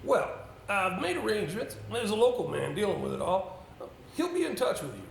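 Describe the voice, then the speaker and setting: Casting: man as formal, doubtful gentleman solicitor for amateur stage drama, higher-pitched and animated, halting delivery. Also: gravelly, exaggerated voice. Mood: avuncular, brisk. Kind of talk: amateur stage play acting. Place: indoor theatre stage. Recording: echoey stage recording with background hiss.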